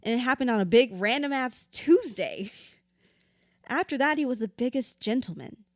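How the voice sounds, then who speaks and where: A woman's voice: a little high, lively intonation, normal speed, no echo, clean studio sound, close to an everyday voice. A woman acting in an audio drama as a grieving widow in therapy, wounded and candid, reflective with a wry edge. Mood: wistful, animated.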